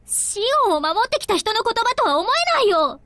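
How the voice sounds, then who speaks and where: An actress playing an earnest, tender young woman in a Japanese visual novel, emotive and halting. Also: very high voice, sing-song, sharp tone, dry recording, full character voice. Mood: angry.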